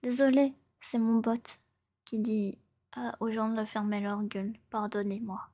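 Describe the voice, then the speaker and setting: Young woman streamer, kinda high soft breathy voice, whispery asmr chat, playful n repeats herself. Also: little pitch movement, unhurried. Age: 20s. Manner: playful, chatty, repeats phrases. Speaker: live streamer. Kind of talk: casual livestream chat, often whispered ASMR.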